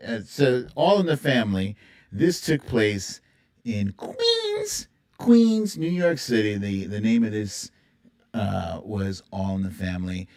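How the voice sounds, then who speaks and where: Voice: mid-range voice, darkish tone. A livestream host chatting casually and playfully with viewers, rambling and thinking aloud while running an informal quiz. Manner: playful, breaks into humming and singing theme tunes. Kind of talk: casual live chat with a TV theme quiz.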